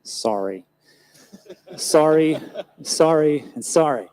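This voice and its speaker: A man's voice: on the high side, lively intonation, slow, warm tone. An American man speaking as a panelist at a live event, chatting easily and tossing joking asides to the crowd. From United States. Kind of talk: live panel talk on stage. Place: event stage before an audience.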